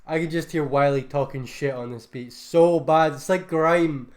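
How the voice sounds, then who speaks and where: A man's voice: a little high, normal speed. A man, a music producer, reviewing beats in a casual livestream, laid-back and enthusiastic, loose with fillers. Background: faint beat music.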